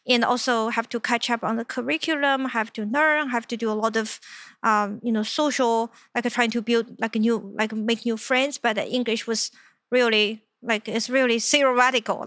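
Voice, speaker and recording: Halting Chinese-accented woman, slightly high. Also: fairly bright tone, no echo, clean studio sound. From China. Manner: halting, searching for words, many fillers. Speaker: interview guest, company founder. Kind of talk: personal storytelling in conversation.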